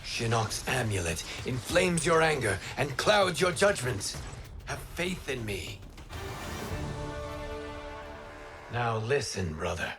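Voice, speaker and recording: Earnest heroic game warrior. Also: male voice, medium pitch, very animated, measured pace, over music. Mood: calm, persuasive. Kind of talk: video game cutscene acting.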